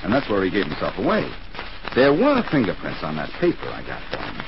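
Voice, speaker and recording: A man's voice: deep voice, wildly swooping pitch, brisk, creaky, full character voice. An American man playing a confident, wry amateur detective in 1940s radio drama style. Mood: wry, satisfied. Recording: muffled, noisy 1940s radio recording.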